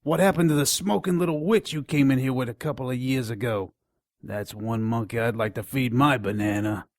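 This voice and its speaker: A man's voice: mid-range voice, a little fast, dry recording, natural voice. Man acting sneering nonhuman shopkeeper, crude, contemptuous taunts, audio drama, dry close sound. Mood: taunting, ominous. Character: sneering, crude nonhuman male pawn shop dealer.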